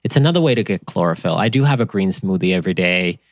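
Deep-voiced Finnish man, relaxed and rambling. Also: quick pace, dry recording, clean studio sound. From Finland. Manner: relaxed, rambling with fillers and restarts. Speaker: company founder, podcast guest. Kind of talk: casual interview chat.

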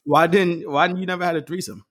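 A man's voice: fairly high pitch, steady tone, a little fast. Brash, teasing man bantering casually. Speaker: podcast co-host.

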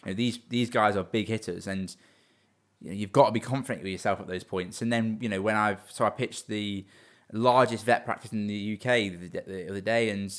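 Fast-talking young man. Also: fairly low pitch, fairly even intonation, fairly bright tone. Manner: fast, earnest, repeats words when restarting. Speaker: business owner guest. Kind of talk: casual interview talk.

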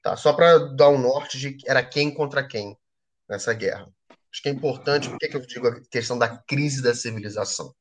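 A man's voice: mid-range voice, a little fast. Casual Brazilian man teaching history. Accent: Brazilian Portuguese. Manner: colloquial, lively, frequent "tá?" check-ins. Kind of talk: explaining history to a class or show.

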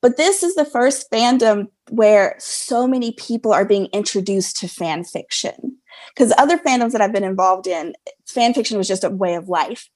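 A woman's voice: medium pitch, expressive, moderate pace, darkish tone. A woman answering interview questions as a guest, earnest and emphatic, repeating phrases for weight. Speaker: podcast guest, fan fiction writer.

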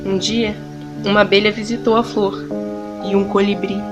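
A Brazilian woman performs scripted story narration for an audio drama, with a mid-pitched voice. She tells it solemnly and deliberately, leaving pauses between phrases, while music plays clearly behind her. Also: flat delivery, highs cut off.

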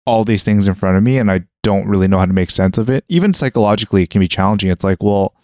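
Low-voiced man thinking aloud with restarts. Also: rapid-fire, a little mellow, dry recording, studio quality. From Western world (Canada). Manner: hesitant, many restarts and "like" fillers, thinking aloud. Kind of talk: casual conversation.

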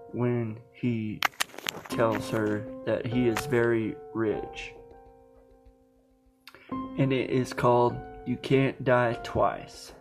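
A man giving a casual introduction as the host of a podcast. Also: mid-range voice.

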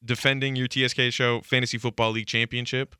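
Clear, bright young American man. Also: medium pitch, flat delivery, fast talker, dry recording, studio quality. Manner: informal, supportive. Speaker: podcast co-host. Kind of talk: casual chat.

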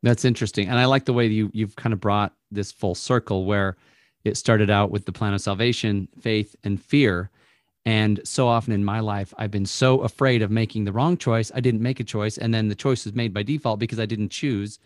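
A man's voice: lowish voice, steady tone, moderate pace, no room sound, clean studio sound. A man hosting a faith-based conversation, speaking reflectively and affirming his guest. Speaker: host.

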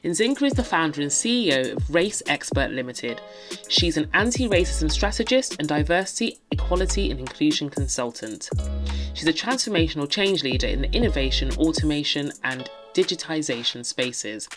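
A woman hosting a podcast, reading a polished, measured introduction of her guest. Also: low voice, animated. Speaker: podcast host. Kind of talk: scripted show introduction. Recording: music bed under the voice.

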